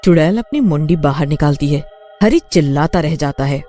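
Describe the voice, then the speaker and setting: A man narrates a cartoon story from a script. His voice is mellow and relaxed, with soft music playing underneath. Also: fairly high pitch, normal speed.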